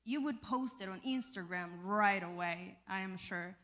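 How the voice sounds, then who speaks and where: A young woman speaks to a gathered group in a mid-range voice, sharing a personal testimony. Her delivery is informal and earnest, with a halting flow as she finds her words. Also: medium pitch, fairly bright tone. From El Salvador. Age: young adult. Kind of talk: personal testimony to a live audience.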